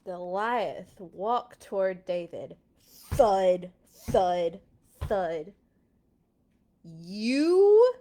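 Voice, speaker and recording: A woman hosting a children's read-aloud, expressive, with dramatic playful delivery and casual asides. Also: medium pitch, slow. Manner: dramatic, playful sound effects.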